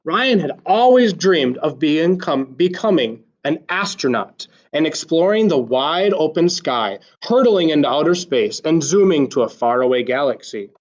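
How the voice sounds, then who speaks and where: Animated man reading a storybook aloud. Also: fairly high pitch, unhurried, hardly any pauses. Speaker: teacher reading aloud to children. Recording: slightly dull, lo-fi sound.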